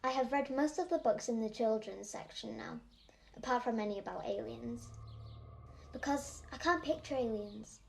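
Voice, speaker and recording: A woman's voice: on the high side, normal speed, gravelly, a little hiss or hum, low-quality recording. A woman playing a bookish, anxious eight-year-old Yorkshire girl in an audio drama monologue, speaking earnestly and precisely. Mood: earnest, curious. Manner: earnest, precise, matter-of-fact.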